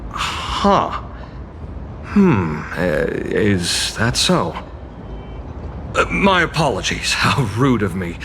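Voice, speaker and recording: Polite, low-voiced male guide. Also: very animated, very slow, gravelly, pauses often, noisy background, heavily acted. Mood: flustered, apologetic. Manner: formal, courteous. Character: polite, deferential male boat guide, easily flustered. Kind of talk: video game voice acting.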